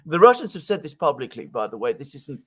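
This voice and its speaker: A man talks through politics in a conversational, analytical way, as a guest commentator. He has a medium-pitched voice and leans on points emphatically, sometimes repeating words to press them. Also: very animated, quick pace, a bit bright, husky.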